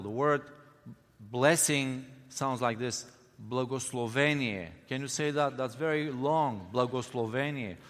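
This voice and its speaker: Man, Bulgarian accent, missionary speaking to a congregation, halting with fillers, long reverb. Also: mid-range voice, a bit bright. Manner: friendly, halting, many fillers. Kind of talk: church mission presentation.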